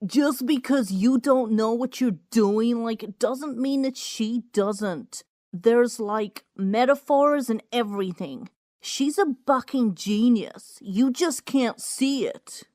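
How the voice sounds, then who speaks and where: A woman's voice: on the high side, takes it slowly, darkish tone, no echo, studio quality, voice pushed up. A woman voicing an indignant young male pony, defensive and saying "like" a lot, in a story reading. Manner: defensive, gushing, peppered with "like".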